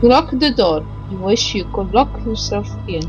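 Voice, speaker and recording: A woman narrating a visual novel playthrough, reading the text aloud haltingly with stumbles, pauses and small asides. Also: medium pitch, lively intonation, dull audio. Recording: music behind the voice. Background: game music.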